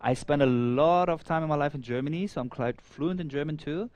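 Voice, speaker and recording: Afghan-born man, halting friendly speech. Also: fairly high pitch, a little mellow, dull audio. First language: Persian. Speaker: guest co-commentator and event organizer. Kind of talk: casual commentary chat.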